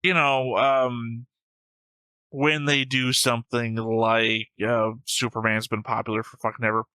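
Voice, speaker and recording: A man's voice: medium pitch, flat delivery, measured pace, pushed and bright, clear, resonant tone, no room sound. A man hosting a reaction show, talking casually and argumentatively with frequent swearing. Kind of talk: casual discussion, reacting to an animated series.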